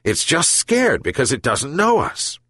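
A man voicing a poor, weak old fisherman in a storybook, speaking gently and wearily. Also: on the high side, huge pitch swings, unhurried, breathy edge, no room sound, pitched above the performer's own voice, full character voice. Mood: weary. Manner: weary, gentle.

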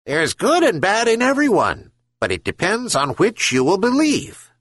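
A man voicing a wise talking herring gull in a storybook, speaking slowly and solemnly. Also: high-pitched, huge pitch swings, a bit bright, dry recording, studio quality, pitched above the performer's own voice, heavily acted. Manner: slow, solemn counsel.

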